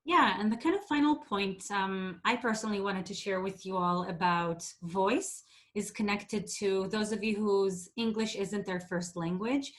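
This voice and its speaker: A woman from Israel whose first language is Hebrew, coaching public speaking in a clear, deliberate way and sometimes exaggerating words to demonstrate. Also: mid-range voice. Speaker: presentation skills coach. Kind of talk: instructional talk.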